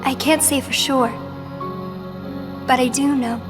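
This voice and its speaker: A gentle teenage girl in a video game, speaking softly in a high, slow voice. Loud music plays under her words throughout. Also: steady tone. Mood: wistful. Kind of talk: video game cutscene acting.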